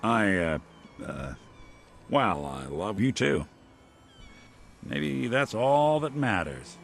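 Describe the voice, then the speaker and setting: An American actor playing a weary old android detective in a video game, with wry, halting, noir-style delivery. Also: male voice, lowish voice, sing-song, gravelly, slight background noise, heavily acted. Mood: warm, wry.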